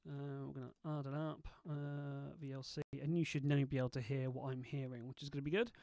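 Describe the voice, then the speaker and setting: A man hosting a video, casually thinking aloud as he tests software and mutters asides to himself. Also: medium pitch, level tone, brisk, dry recording. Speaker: video host testing software.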